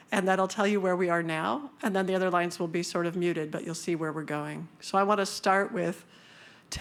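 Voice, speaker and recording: Casual woman presenter at a science conference, loose and joking, lower register. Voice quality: high-pitched voice. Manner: casual, self-deprecating, many fillers. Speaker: conference speaker.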